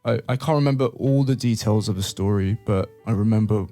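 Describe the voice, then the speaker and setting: A man's voice: on the low side, fairly quick, soft background music. A young man, born in Brazil, chatting casually as a co-host and telling stories about his childhood. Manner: relaxed, thoughtful storytelling with restarts. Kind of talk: casual chat.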